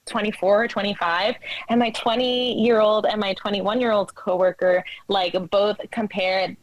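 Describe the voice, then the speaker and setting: A woman in her late twenties talking candidly and haltingly as a guest in a casual interview, with many filler words. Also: medium pitch, steady tone, dry recording, rough recording. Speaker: interview guest, coffee shop manager. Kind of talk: casual conversational interview talk.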